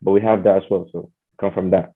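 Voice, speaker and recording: A man's voice: low voice, fairly even intonation, quick pace, slightly rough. A man from Nigeria whose first language is Hausa, a podcast guest chatting casually with many fillers and restarts. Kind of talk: casual chat. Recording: tinny phone-like sound.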